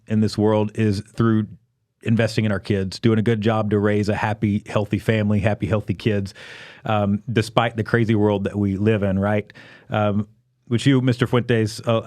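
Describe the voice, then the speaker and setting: Man, podcast host, encouraging, frequent fillers, mid pitch, dry close sound. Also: darkish tone, dry recording. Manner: encouraging, lots of backchannel fillers. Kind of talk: podcast interview.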